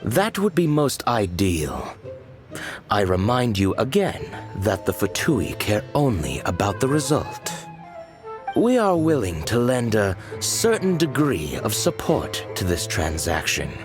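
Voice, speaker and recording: A cold, menacing game villain with a lowish voice and slow, deliberate delivery. He speaks formally, every sentence carrying a veiled threat. Music is clearly heard behind him. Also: wildly swooping pitch. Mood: cold, threatening. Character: menacing male agent of a shadowy foreign organisation. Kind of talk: video game voice acting.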